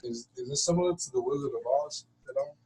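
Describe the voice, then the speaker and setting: A man's voice: medium pitch, wildly swooping pitch, fast, vocal fry, roomy sound, a crowd in the background. Spanish-native man, distant room recording. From Cuba and America. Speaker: student. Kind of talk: casual class discussion. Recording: distant mic with background noise.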